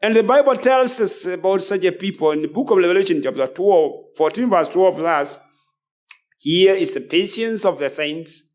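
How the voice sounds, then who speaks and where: Guy preaching a sermon, pretty high voice, really emphatic, says phrases twice. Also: lively intonation, normal speed, a little mellow. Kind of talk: sermon with scripture and book readings.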